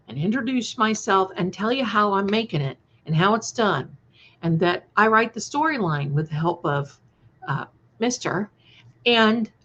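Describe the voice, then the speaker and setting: A woman hosting a casual livestream, answering viewers in a scattered, affectionate way full of endearments. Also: medium pitch. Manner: gushing, affectionate terms of endearment, scattered and conversational. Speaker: livestream host and channel creator. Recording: dull, lo-fi livestream audio.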